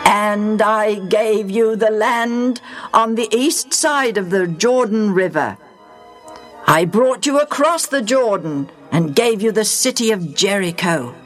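A woman reading the part of a stern old male leader who speaks loudly and emphatically in a storybook reading. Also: fairly high pitch, voice pushed up. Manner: loud, emphatic preaching.

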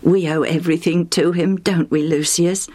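A woman voicing a hospitable, devout man in a storybook tale, gentle and welcoming. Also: lowish voice, steady tone, normal speed, natural voice. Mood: soft, devout. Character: warm, hospitable male friend, gentle and devout.

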